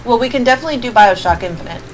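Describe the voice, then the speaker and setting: A woman's voice: a little high, expressive, rapid-fire, a little hiss or hum. A woman chatting casually on a game stream, teasing her co-player as she comments. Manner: teasing, playfully mocking. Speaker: co-host of a game livestream. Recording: music playing behind the voice. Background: music.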